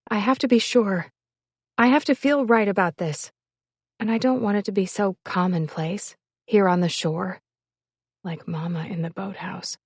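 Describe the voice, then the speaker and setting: A woman's voice: mid-range voice, a little mellow, husky, no echo. A woman voicing a hesitant, pleading young woman in love, emotional and insistent, in an audiobook story. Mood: earnest, pleading. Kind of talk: audiobook character dialogue.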